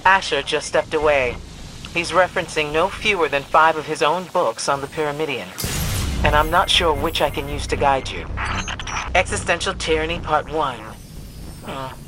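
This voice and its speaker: A woman's voice: low voice, moderate pace, music in the background, lightly acted, low-quality recording. An actress playing a composed, dryly witty female scholar who guides players through a video game mission. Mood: mildly puzzled. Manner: dry, composed, wry.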